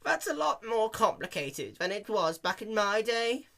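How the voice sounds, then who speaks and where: Forgetful old pony geezer, male reader, high strained pitch, folksy drawl, coughing fits. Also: big pitch swings, a little slow, creaky, bright, forceful tone, heavily acted. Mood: feeble. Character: confused, forgetful elderly male pegasus with constant cough.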